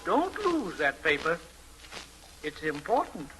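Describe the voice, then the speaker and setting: A kindly, cryptic old man in a vintage radio drama. His voice is high and very slow, drawling out each mysterious remark. Faint music plays behind him. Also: huge pitch swings, bright, forceful tone, heavily acted, low-quality recording. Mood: gentle, mysterious. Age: older. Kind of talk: 1940s radio acting.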